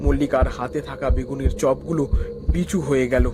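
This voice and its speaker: A man's voice: medium pitch, steady tone, brisk, background noise, natural voice. A man playing a wary, curious young hero who also narrates a horror cartoon dramatically. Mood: suspenseful.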